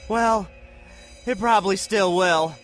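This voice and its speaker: A man's voice: high-pitched, slow pace, fairly bright tone, soft background music. A man playing a jaded, skeptical soldier on monster watch, dry and dismissive in comic dialogue.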